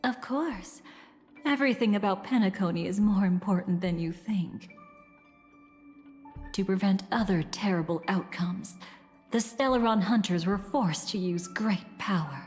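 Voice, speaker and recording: A woman playing a poised, enigmatic game character with a measured, theatrical, teasing delivery and quiet menace. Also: medium pitch, in a large hall, faint music behind, close to an everyday voice. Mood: intimate, teasing. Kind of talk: dramatic video game narration and dialogue.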